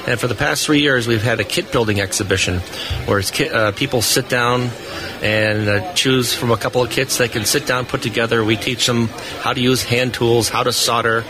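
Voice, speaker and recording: A man's voice: medium pitch, steady tone, moderate pace, a crowd in the background. A middle-aged or older man, an engineer, chatting casually as a guest in a live radio interview. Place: convention hall booth. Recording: noisy live event floor broadcast. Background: busy convention floor noise.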